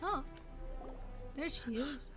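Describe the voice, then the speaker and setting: Young woman reacting to a game, high soft voice, slow and reserved. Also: over music. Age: 20s. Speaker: video game player. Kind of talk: casual gameplay reaction commentary.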